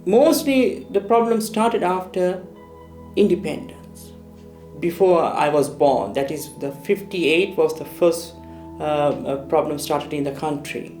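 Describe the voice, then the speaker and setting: A middle-aged man from Sri Lanka, recalling his war memories in a hesitant documentary interview with many pauses and restarts. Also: fairly high pitch, a little mellow. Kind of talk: documentary interview, personal recollection. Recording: echoey room with faint music.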